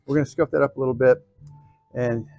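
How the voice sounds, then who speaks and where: A man's voice: mid-range voice, fairly even intonation, fast, vocal fry, dark tone, no echo, lo-fi sound. A man narrating a hands-on how-to in a casual, folksy way, often checking in with short informal asides. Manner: folksy, informal, frequent check-ins like okay and cool. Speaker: host of an off-road DIY channel.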